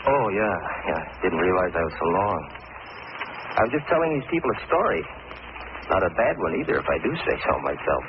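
A man's voice: low voice, sing-song, fairly quick. An actor playing a chatty, self-satisfied condemned man narrating in folksy 1940s radio drama style. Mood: sheepish. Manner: folksy, conversational. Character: chatty, self-satisfied condemned male murderer telling his tale. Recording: muffled, hissy old radio recording.

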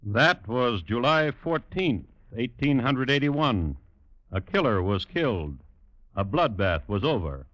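An actor playing a droll, formal crime chronicler, narrating deliberately in 1950s radio style. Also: male voice, mid-range voice, a bit bright, no echo. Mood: grave, wry. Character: dry, macabre true-crime chronicler hosting a radio series. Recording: dull, dry old radio recording.

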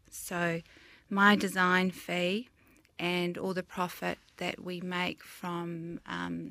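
A middle-aged woman with a New Zealand accent speaks in a low voice with a fairly flat, level melody. She talks earnestly about her work, picking her words and rephrasing as she goes, and the recording is dry and close. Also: no echo. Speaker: guest, designer and business owner. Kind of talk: interview conversation.

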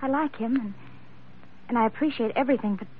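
A woman's voice: a little high, fast talker, breathy edge, phone-quality sound. An actress playing a devoted, anxious young woman in love, pleading and hesitant, in 1940s radio drama style. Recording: thin, faintly noisy vintage radio recording.

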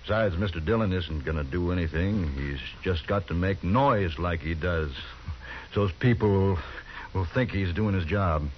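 A man acting out a smug outlaw gang leader in an old radio western, mocking and sneering with a lowish voice. The sound is dull and lo-fi, with a faint hiss under it. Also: moderate pace, natural voice. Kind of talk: 1950s radio drama acting. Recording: lo-fi 1950s radio broadcast.

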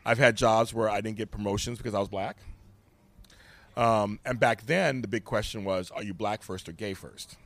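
An older man from Los Angeles with a deep voice, a guest talking candidly and emphatically, often repeating himself. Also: fairly even intonation, normal speed. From Los Angeles, USA. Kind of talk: candid conversation.

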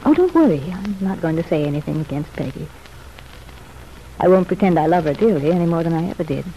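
An actress playing a contrite, earnest young ex-wife in an old-time radio soap opera, speaking in a confiding, measured way. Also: female voice, fairly low pitch, animated, dark tone. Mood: earnest, apologetic. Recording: noisy, band-limited vintage radio recording.

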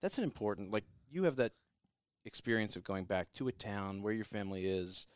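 A man co-hosts a podcast in a friendly, reflective way, musing on his own experiences. His voice sits somewhat low. It is recorded close and dry. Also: animated, fairly quick, no echo. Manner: friendly, reflective, frequent laughs and "yeah" replies. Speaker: podcast co-host. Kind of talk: casual podcast conversation.

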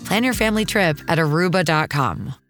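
A woman's voice: fairly low pitch, lively intonation, brisk, fairly bright tone. Woman reading an advert over music. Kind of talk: scripted commercial narration.

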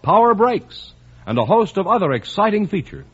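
A man's voice: high voice, sing-song, normal speed, fairly bright tone, breathy edge. A man announcing a scripted radio commercial in an upbeat, persuasive way. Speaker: sponsor announcer. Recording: muffled 1950s radio broadcast.

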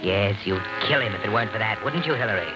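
Music plays under an old radio suspense recording. An actor plays an all-knowing male narrator who taunts and insinuates. He speaks quickly, prodding with rhetorical questions. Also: fairly low pitch, lively intonation, close to an everyday voice, rough recording. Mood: knowing, taunting. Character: taunting, omniscient male inner-voice narrator of doom.

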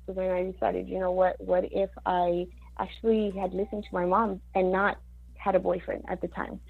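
Woman guest, Mexican-born singer-songwriter; rambling, filler-heavy talk with Spanish words; gravelly voice, dull recording. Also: fairly low pitch, flat delivery, moderate pace. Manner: chatty, many fillers, mixes in Spanish words. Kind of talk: casual interview chat.

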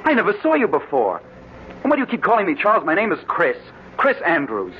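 A man's voice: fairly high pitch, huge pitch swings, fast talker, faint music behind. An actor playing an anguished, hard-drinking man with amnesia in an emotional, melodramatic 1940s radio drama style. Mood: bewildered, indignant. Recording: noisy, muffled old-time radio recording.

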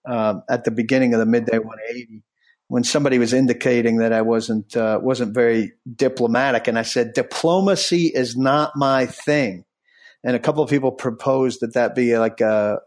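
A middle-aged man hosts a casual podcast chat, blunt and rambling, often restarting his sentences. His voice sits at a middling pitch for a man. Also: fairly even intonation, normal speed. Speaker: podcast host.

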